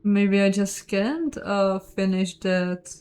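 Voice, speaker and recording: A woman's voice: mid-range voice, takes it slowly, darkish tone. A woman giving casual, chatty let's play commentary, thinking aloud in a self-deprecating way. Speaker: host of a game playthrough, voicing the dialogue. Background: game music.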